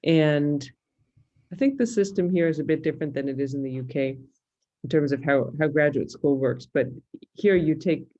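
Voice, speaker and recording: Female interview guest, Californian American, thoughtful and halting conversational delivery, slightly muffled lo-fi recording. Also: low voice, mellow. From California, United States. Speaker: interview guest, musicologist and fiddler.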